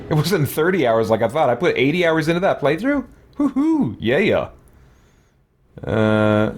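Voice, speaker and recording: A man with a mid-pitched voice hosts a casual let's play. He muses aloud in an unscripted way while game music plays clearly behind him. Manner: thinking aloud, musing. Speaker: gaming host, let's player. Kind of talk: casual off-the-cuff gameplay commentary.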